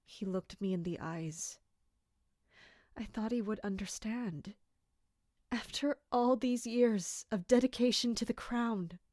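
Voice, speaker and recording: Anguished captain, slow and high-voiced. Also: female voice, a little mellow, no echo, studio quality. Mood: pleading, shaken. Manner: slow, brooding, emotionally intense. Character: anguished female royal captain, bitter and dutiful. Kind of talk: dramatic audio drama monologue and dialogue.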